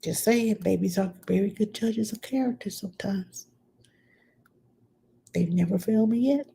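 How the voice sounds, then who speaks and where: A woman's voice: mid-range voice, moderate pace, vocal fry, dark tone, a little hiss or hum. A middle-aged woman with a stuffed-up nose, chatting plainly and bluntly as a nanny answering viewer questions on a live stream.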